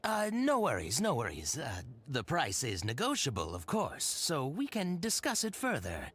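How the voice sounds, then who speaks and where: An actor playing an accommodating, flustered merchant in a video game, with a hesitant, stammering, placating delivery. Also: male voice, mid-range voice, huge pitch swings, moderate pace, a bit bright, slight background noise. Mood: nervously obliging. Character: flustered, accommodating male merchant, eager to haggle.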